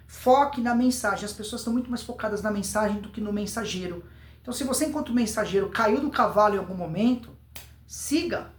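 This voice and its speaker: A Brazilian man giving an informal public speaking lesson, emphatic, repeating words and answering his own questions. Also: high-pitched. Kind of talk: informal instructional video to camera. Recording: roomy, echoing voice recording.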